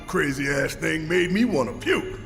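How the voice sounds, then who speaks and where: An actor playing a gruff, suspicious, hot-tempered rebel fighter in a video game, gravelly and brash with streetwise slang. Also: male voice, on the high side, lively intonation, moderate pace, long reverb tail, music in the background. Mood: disgusted. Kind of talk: dramatic video game acting.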